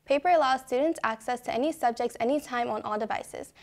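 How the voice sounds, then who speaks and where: A teenage girl hosting a school news show, reading announcements in a bright, upbeat anchor style from a studio desk. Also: a little high, fairly quick. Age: teens.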